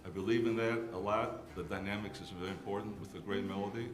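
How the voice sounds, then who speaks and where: Older Greek-born man, low voice. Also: fairly even intonation, quick pace, slight background noise. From Greece. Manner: rambling, repeats words and restarts. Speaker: guest musician. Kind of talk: casual chat on a live music show. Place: live music venue. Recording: roomy venue with music behind.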